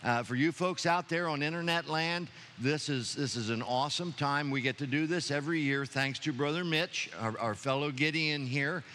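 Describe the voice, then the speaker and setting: A man's voice: on the high side, moderate pace, few pauses, sharp tone, a little hiss or hum. A man leading a church service as pastor, speaking with enthusiasm to the congregation. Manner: enthusiastic, preacherly. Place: church sanctuary.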